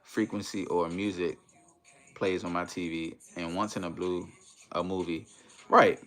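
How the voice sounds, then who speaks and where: A deep-voiced American man hosts a casual livestream over music. He talks to his viewers in a slangy, conversational way, dropping in tags like "you know" as he goes. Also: steady tone, measured pace, a bit bright. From United States, Maryland and Washington DC area. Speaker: livestream host chatting with viewers.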